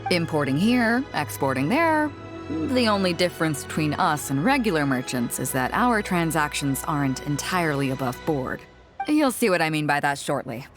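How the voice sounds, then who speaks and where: A bold pirate fleet captain from a video game, speaks with assured command and a teasing edge. Her pitch swings widely as she talks, and soft music plays behind her. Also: big pitch swings, normal speed. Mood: businesslike. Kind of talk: video game character acting.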